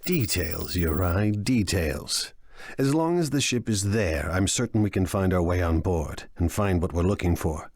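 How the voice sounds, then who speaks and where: An actor playing a smug, scheming crime lord in a video game, wry, theatrical and sure of himself. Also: male voice, low voice, animated, measured pace, darkish tone, no echo, exaggerated voice. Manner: wry, self-assured, theatrical.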